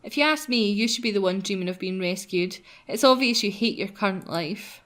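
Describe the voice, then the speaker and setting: A woman playing a gentle yet cheeky young heroine in a visual novel, earnest and quietly defiant. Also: medium pitch, a little fast, close to an everyday voice. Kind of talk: acted reading of visual novel dialogue.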